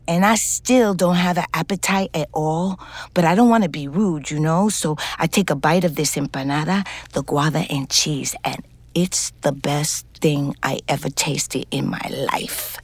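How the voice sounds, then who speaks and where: An actress playing a streetwise, weary middle-aged ex-convict who narrates an audio drama in a frank, wistful, conversational way with slangy swearing. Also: female voice, sits low, husky, dry recording, exaggerated voice. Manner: conversational, halting, profane slang. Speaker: lead actor and narrator in scripted fiction podcast. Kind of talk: intimate first-person audio drama narration.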